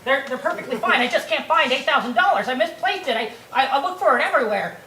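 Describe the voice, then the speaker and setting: A woman's voice: sits high, lively intonation, fast talker, roomy sound, distant mic, crowd noise, pitched above the performer's own voice. A woman voicing a desperate young man who stammers and begs for help. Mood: pleading. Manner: stammering, repeats words.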